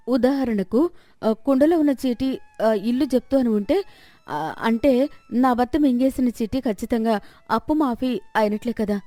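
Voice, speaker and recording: A woman playing a clever, confident village wife, calm and reassuring, in a dramatized moral story. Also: on the high side, dry recording, natural voice. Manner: reassuring, composed.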